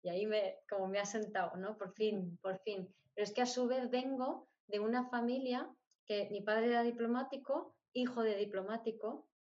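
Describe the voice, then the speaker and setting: A dull, lo-fi recording of a middle-aged woman with a mid-pitched voice. She is an interview guest telling personal stories, stacking short phrases and repeating them for emphasis. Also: steady tone, normal speed, few pauses, a little mellow. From born in Spain, grew up moving between countries. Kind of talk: personal storytelling in conversation. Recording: dull, lo-fi audio.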